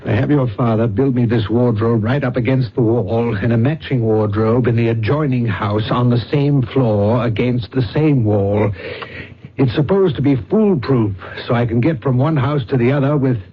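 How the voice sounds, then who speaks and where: An actor playing a vain, testy psychic detective, middle-aged, in the theatrical style of old-time radio drama. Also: male voice, medium pitch, moderate pace, slightly rough, lightly acted. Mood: impatient, irritated. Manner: theatrical, testy, self-important. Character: vain, impatient psychic detective and master of disguise. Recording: muffled, hissy old radio recording.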